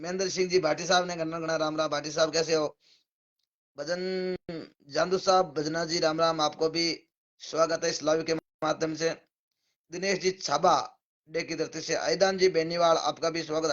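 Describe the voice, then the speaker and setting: Hoarse Rajasthani man, lo-fi stream. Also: on the high side, fairly bright tone. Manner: rattles off viewers' names with thanks and greetings. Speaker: devotional singer hosting a live stream. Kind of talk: casual live-stream greetings.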